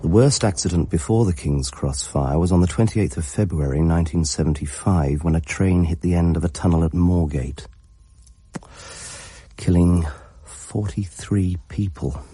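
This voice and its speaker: An actor playing a grave chronicler of disasters, giving measured, factual scripted narration in a radio drama. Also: male voice, sits low, mellow, husky, no room sound.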